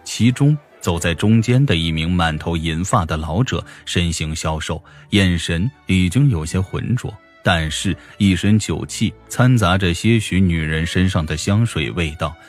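Deep-voiced male audiobook narrator. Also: expressive, a little slow, hardly any pauses, faint music behind. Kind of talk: scripted fantasy novel narration.

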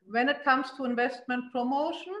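A middle-aged woman with a German accent, speaking earnestly on a webcam call. Her voice sits a little high and rings in the room, and she stops and restarts often as she presses her points. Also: normal speed, fairly bright tone, roomy sound. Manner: earnest, emphatic, frequent restarts and fillers. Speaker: city investment promotion official. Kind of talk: panel discussion on a video call. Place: indoor room at home. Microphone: laptop webcam on a video call.

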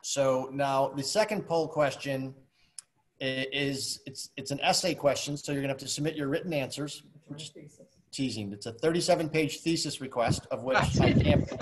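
A man's voice: medium pitch, normal speed, slight background noise. A man in his fifties hosting a virtual wine tasting over a video call, chatty and joking. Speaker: host.

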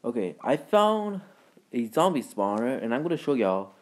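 A man hosting a gaming video with casual, rambling, halting commentary in informal words. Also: mid-range voice, sing-song. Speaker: gaming video creator and host.

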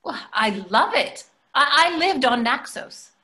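A woman's voice: sits high, lively intonation, measured pace, bright, forceful tone, heavily acted. An older woman playing a polite, placating tourist diner in a readers theatre comedy, speaking a little hesitantly. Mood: conciliatory. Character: polite, hungry New York tourist diner, conciliatory. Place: plain room indoors on a video call. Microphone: video call microphone.

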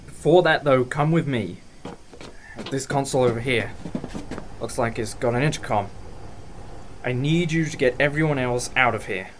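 A man's voice: mid-range voice, moderate pace, pauses often, slight background noise, lightly acted. A man playing a decisive, protective time-traveller in a fan audio drama. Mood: calm command.

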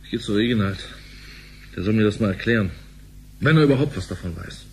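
A man's voice: fairly low pitch, animated, fast talker, a little hiss or hum. A man playing a hesitant, aggrieved husband in a sci-fi radio drama, conversational and defensive.

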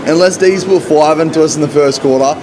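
A young man in his twenties with an Australian accent, vlogging nervously and excitedly as a football fan from the stands, phone at arm's length. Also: a little high, brisk, noisy background. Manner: animated, nervous fan commentary. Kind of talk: excited game-day vlog chat. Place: packed outdoor stadium stands. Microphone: phone held at arm's length. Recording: loud roaring crowd and stadium music.

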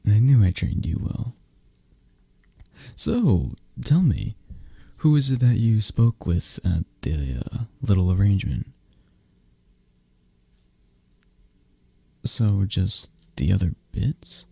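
A man playing a possessive, menacing mafia boss lover in an ASMR roleplay, with a breathy, gravelly voice and a halting, condescending manner. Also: on the low side, warm tone, no room sound, full character voice. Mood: feigned calm. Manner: slow, halting, controlling, condescending. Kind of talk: ASMR roleplay, intimate close-up acting.

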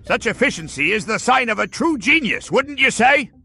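A man's voice: extremely high pitch, very animated, moderate pace, vocal fry, sharp tone, slightly rough, no room sound, exaggerated voice. A man playing a pompous, gloating mad-scientist villain in a theatrical comic dub. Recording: music behind the voice.

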